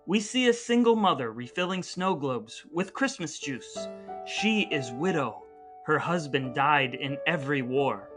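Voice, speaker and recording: Man, podcast host, slightly high pitch, sharp bright tone, emphatic comedic delivery, music behind. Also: lively intonation, measured pace. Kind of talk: comedic podcast banter and dramatic reading.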